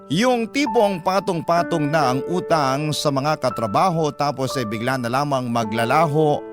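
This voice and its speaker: Actor as reflective Filipino boyfriend narrating over music. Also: male voice, a little high, lively intonation, close to an everyday voice. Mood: reflective, troubled. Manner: measured, confessional storytelling. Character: reflective, frugal young boyfriend narrating his love story. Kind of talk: scripted radio drama narration in Tagalog. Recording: music bed under the voice.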